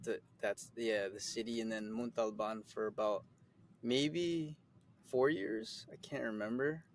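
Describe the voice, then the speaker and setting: A man's voice: medium pitch, a little slow, a little hiss or hum. A man in his twenties from the Philippines, a guest actor giving easygoing, rambling interview answers with many fillers.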